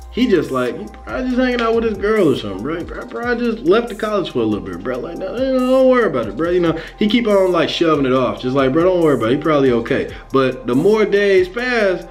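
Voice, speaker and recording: An American man giving casual, animated fan commentary in slangy, profane, conversational speech, acting out lines as he retells a story. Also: fairly high pitch. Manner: animated, conversational, acts out lines and sound effects. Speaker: host of a comics and animation channel.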